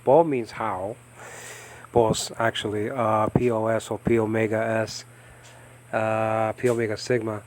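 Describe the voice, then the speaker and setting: A man from the United States, hosting an informal Bible study in a halting, rambling way and lifting his voice when he reads scripture aloud. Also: level tone, a little hiss or hum. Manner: rambling, halting, lifts pitch and volume when reading scripture. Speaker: Bible teacher and ministry host. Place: home in the Philippines.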